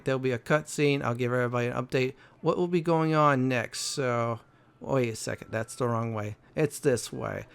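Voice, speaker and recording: Smooth-voiced man, rambling game commentary. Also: mid-range voice, fairly bright tone. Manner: rambling, halting, explanatory. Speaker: host of a gaming walkthrough. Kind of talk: casual gameplay commentary.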